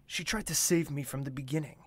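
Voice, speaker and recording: A man's voice: fairly high pitch, brisk, a bit bright, breathy edge, no room sound. A man playing an earnest, proud young royal in a fantasy audio drama, speaking formally. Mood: uncertain, lovestruck. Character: lovestruck young male royal, formal, proud, easily wounded.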